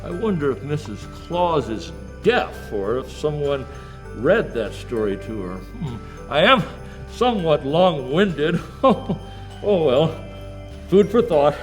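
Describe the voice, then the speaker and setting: A man's voice: a little high, sing-song, takes it slowly, faint music behind. A man playing a jolly, long-winded old Santa Claus, hosting in a jovial, rambling manner. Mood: jolly, musing. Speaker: show host. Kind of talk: in-character hosting.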